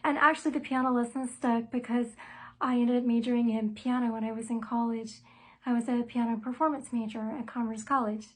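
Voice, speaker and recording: A middle-aged woman with a light voice pitched a little above average. She talks through her musical journey and her album in an easygoing, conversational way. Her pace is measured, and she pauses often on small "um" and "uh" fillers. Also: steady tone, darkish tone. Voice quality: light, soprano-range voice. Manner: thoughtful and measured, with frequent "um" and "uh" pauses. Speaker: musician and songwriter interviewed about her album. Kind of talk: self-told interview answers, conversational.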